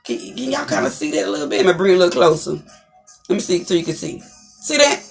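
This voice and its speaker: A woman with a deep, distinctive voice hosting an inspirational talk show, encouraging listeners and repeating her phrases for emphasis. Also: sing-song, quick pace, vocal fry, soft background music.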